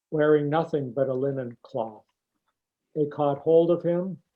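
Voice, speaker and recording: A man reading scripture aloud as a church member, on a dull lo-fi recording. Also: medium pitch. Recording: dull, muffled lo-fi sound.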